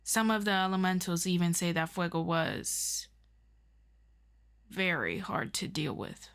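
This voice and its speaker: A woman playing a calm, formal superior of a superhero team in an audio roleplay, asking measured, probing questions. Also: lowish voice, smooth. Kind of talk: scripted audio roleplay addressed to the listener.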